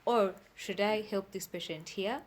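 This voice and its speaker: A woman's voice: mid-range voice, a little slow, slight background noise. A woman speaking as an expert interview guest, explaining things plainly step by step and checking that she is understood.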